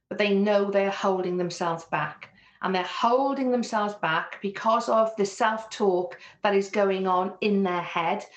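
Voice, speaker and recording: A woman with a Welsh accent, a business coach answering interview questions in an earnest, reflective way. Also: mid-range voice. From Wales. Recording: echoey room.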